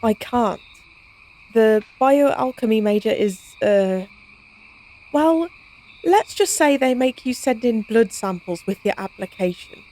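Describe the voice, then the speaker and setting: A cocky female vampire with a fairly high voice, acted by a woman, talks casually in an intimate audio drama. She is teasing and wry, with the flippant air of a monster who loves science. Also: lively intonation, takes it slowly, a little mellow, rough recording. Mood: enthusiastic. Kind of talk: intimate audio roleplay, conversational acting.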